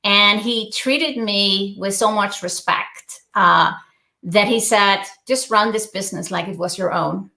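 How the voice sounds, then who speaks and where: Icelandic woman, bright voice, roomy sound. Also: mid-range voice, measured pace, vocal fry. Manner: earnest storytelling with many "uh" hesitations. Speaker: guest entrepreneur. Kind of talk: interview over video call.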